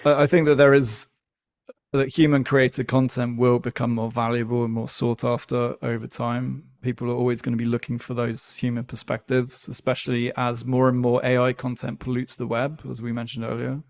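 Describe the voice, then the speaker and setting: A man speaking as a podcast guest, explaining his views in a measured, deliberate, conversational way. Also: mid-range voice, flat delivery, darkish tone. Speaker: podcast guest, newsletter founder. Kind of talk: conversational interview.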